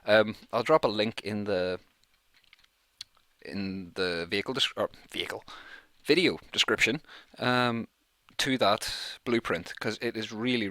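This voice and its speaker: A man's voice: fairly low pitch, sharp tone, dry recording. A man giving casual let's play commentary, chatting and thinking aloud as he plays, close on the microphone in a small room. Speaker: gaming YouTuber, let's play host.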